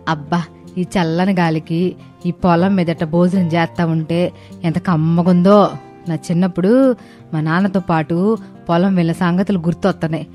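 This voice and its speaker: A woman voicing a middle-aged mother-in-law in an animated moral story, grumbling, sentimental and dramatic. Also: lowish voice, normal speed, long pauses, faint music behind, close to an everyday voice. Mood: wistful, sad.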